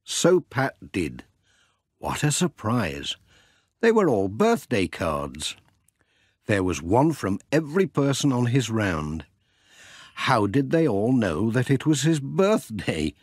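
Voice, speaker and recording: Male children's audiobook narrator; lively intonation, slightly husky tone, close dry recording. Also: mid-range voice, slow pace, dry recording. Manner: expressive storytelling.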